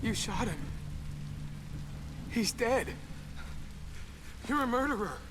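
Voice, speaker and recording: High-voiced, outraged teenage boy. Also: very animated, slow pace, heavily acted. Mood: shocked, accusing. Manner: emphatic, confrontational. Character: outraged, grieving teenage boy, bitter and accusing. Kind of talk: intense dramatic game acting. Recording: clear rain and ambient noise.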